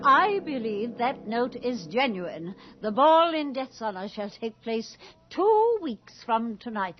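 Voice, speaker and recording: A woman's voice: a little high, sing-song, slow pace. A woman playing a haughty, fearless old aristocratic lady with theatrical deliberation in a radio drama. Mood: resolute, stately. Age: older. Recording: muffled old radio recording, music behind.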